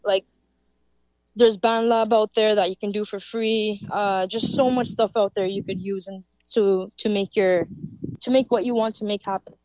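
A woman's voice: mid-range voice, steady tone, normal speed, darkish tone, dry recording. Loose-talking young woman musician. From Canada. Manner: loose, filler-heavy, with frequent "like". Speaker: musician guest. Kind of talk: casual interview answers.

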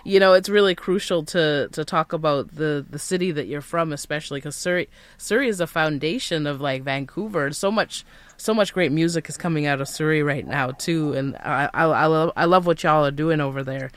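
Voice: mid-range voice, a little fast, a bit bright, smooth. An interview host asking questions with hyped, enthusiastic slang exclamations. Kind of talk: casual interview.

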